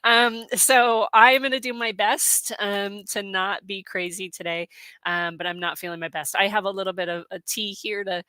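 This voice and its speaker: A middle-aged woman hosting a casual livestream, a little hoarse from allergies, chatting with lots of fillers as she reads out viewer comments. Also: mid-range voice, fairly even intonation, moderate pace, bright, forceful tone, dry recording, rough recording. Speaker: host. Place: home in Colorado.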